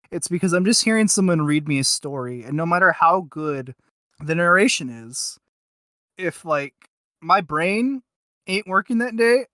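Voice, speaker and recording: A man with a fairly high-pitched voice talks as a podcast co-host. He rambles casually and haltingly through an unscripted review, leaning on filler words. The sound is dry, with very little room. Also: bright, forceful tone, no room sound. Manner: hesitant and rambling, with many filler likes.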